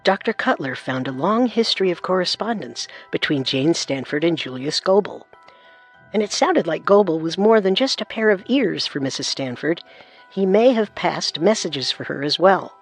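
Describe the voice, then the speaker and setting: A woman narrating a scripted true-crime story as co-host, composed and deliberate. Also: on the low side, animated, breathy edge, soft background music.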